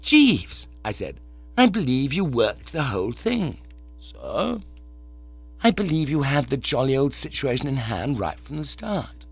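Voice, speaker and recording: A man's voice: medium pitch, sing-song, measured pace, gravelly, breathy edge, soft background music, full character voice. Man doing a jumpy posh young gent, swoops up and down a lot, muffled sound. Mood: flustered. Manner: exclaiming, slangy, flustered. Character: excitable, flustered young English gentleman.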